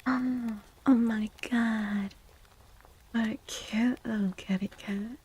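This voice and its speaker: A woman streaming a soft-spoken ASMR tarot reading close to the mic, often slipping into a breathy whisper, with a playful, cutesy, affectionate manner. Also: fairly high pitch, fairly even intonation, slow pace. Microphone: close microphone.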